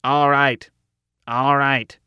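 A stern armed guard voiced by a male narrator barks clipped orders in a booming bull voice. The sound is crisp and dry, as in an audiobook. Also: little pitch movement, extremely slow, dry recording, lo-fi sound. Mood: stern, commanding. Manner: loud, clipped commands.

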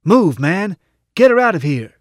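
A man voicing a big, brave fighter who shouts terse, urgent orders in an audiobook. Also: high-pitched, very animated, a little slow, dry recording, clean studio sound, pitched above the performer's own voice.

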